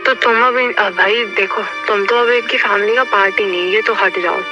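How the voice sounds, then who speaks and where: A woman's voice: medium pitch, fairly even intonation, normal speed. A young woman on a private phone call, explaining herself hesitantly and repeating her words. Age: teenager to young adult, student. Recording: muffled recorded phone call, music behind.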